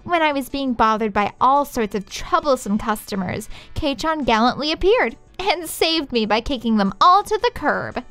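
A smug teenage anime girl, put on by a woman, teasing in a sugary tone. Her voice is fairly high, with faint music behind it. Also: a bit bright, exaggerated voice.

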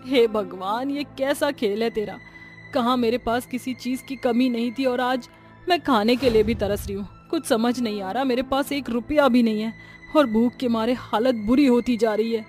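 A woman's voice: on the high side, normal speed, darkish tone, faint music behind, lightly acted. A woman playing a bad-tempered older mother-in-law who scolds and complains in a cartoon story. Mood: despairing complaint.

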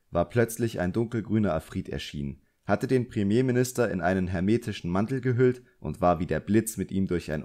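A man with a low voice narrates an audiobook. He reads scripted fiction as a storyteller. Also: darkish tone.

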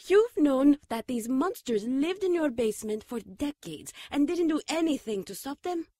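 A woman's voice: high voice, expressive, normal speed, mellow, no echo. A woman playing a protective pagan nun with a dry, challenging manner in a radio drama.

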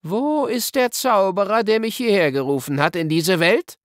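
A man's voice: high-pitched, normal speed, no room sound, clean studio sound, voice pushed up, natural voice. A man voicing an imperious, wrathful witch queen in a storybook, commanding and scornful. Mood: demanding, angry. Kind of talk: dramatic audiobook reading.

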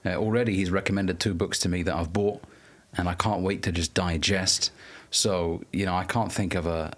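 Very low-voiced male podcast host. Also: fairly even intonation, normal speed. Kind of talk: conversational podcast interview. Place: podcast studio.

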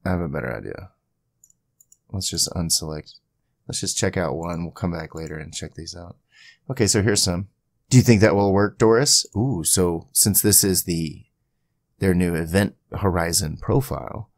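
A man giving a casual screen-capture software tutorial, thinking aloud informally as he goes. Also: sits low, creaky, dry recording, studio quality. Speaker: tutorial host.

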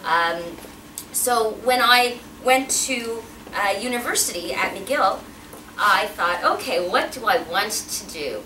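A woman's voice: medium pitch, animated, takes it slowly, sharp tone, slight background noise. Woman, forties, guest speaker at a podium; roomy hall sound, mic at a distance. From Pakistan. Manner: chatty, engaging the audience with questions. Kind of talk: personal talk at a podium. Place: event hall with a backdrop, indoors. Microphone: podium mic, filmed from a distance.

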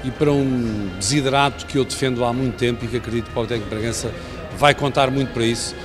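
A man's voice: medium pitch, steady tone, very fast, over music. A middle-aged man with a European Portuguese accent gives formal interview remarks.

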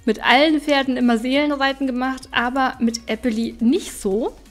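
Higher-voiced woman doing game commentary over music. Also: fairly even intonation, normal speed. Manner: chatty, thinking aloud to herself and viewers. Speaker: gaming YouTuber. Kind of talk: casual let's play commentary. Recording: music behind the voice.

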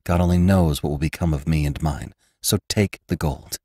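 A man's voice: extremely low pitch, normal speed, a little mellow, no room sound, studio quality. A man reading the lines of a troubled, wealthy rancher woman in an earnest, pleading way.

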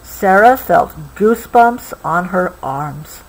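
A woman reads a children's picture book aloud. Her pitch moves in animated swings while the pace stays leisurely. She is patient and stops to clarify words as a parent would. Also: rough recording. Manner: patient, explanatory, pausing to clarify. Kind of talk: expressive storybook read-aloud with explanatory asides.

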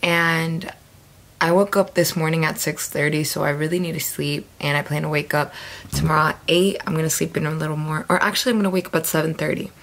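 A woman's voice: low-pitched, fairly even intonation, fast talker, fairly bright tone. Tired young woman vlogging at night. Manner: chatty, giggly, fond asides to viewers. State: losing her voice, tired. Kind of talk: casual vlog chat to camera. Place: small dim bedroom at night. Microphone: camera held at arm's length.

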